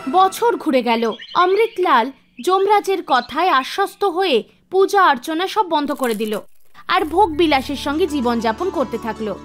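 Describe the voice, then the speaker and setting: A woman giving scripted narration for an animated story. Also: sits high, unhurried, soft background music.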